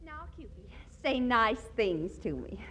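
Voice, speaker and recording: A woman's voice: a little high, big pitch swings, a little slow. An actress playing a brash, man-crazy young woman in 1940s radio comedy, melodramatic and gushing. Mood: swooning. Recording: hissy 1940s radio recording.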